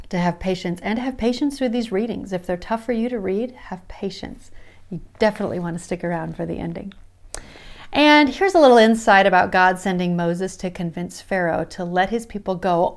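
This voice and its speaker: A woman's voice: mid-range voice, darkish tone. A woman with a Midwestern American accent hosting a daily Bible reading podcast, talking in an earnest, encouraging way and reading scripture passages aloud. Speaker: podcast host. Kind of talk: devotional Bible study talk.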